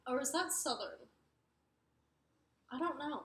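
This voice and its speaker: A young woman with a high voice and a mixed Wisconsin, New York and Arizona accent, talking casually and rambling as she corrects herself. The room around her adds a noticeable echo. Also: lively intonation, slow pace. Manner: rambling, self-correcting, many fillers. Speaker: book reviewer. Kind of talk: casual chat. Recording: echoey room.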